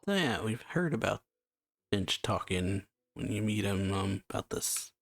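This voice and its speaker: A man's voice: fairly low pitch, animated, a little slow, creaky. A man giving laid-back, casual gameplay commentary in short muttered asides. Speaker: reaction streamer. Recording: loud game music behind.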